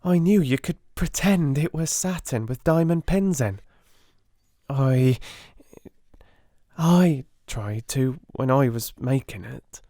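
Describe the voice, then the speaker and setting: A man's voice: medium pitch, takes it slowly, warm tone, dry recording, clean studio sound, pitched above the performer's own voice. A man voicing a humble, timid young servant girl with a working-class English accent, stammering and deferential, in an audiobook. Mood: anxious, earnest. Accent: working-class English dialect.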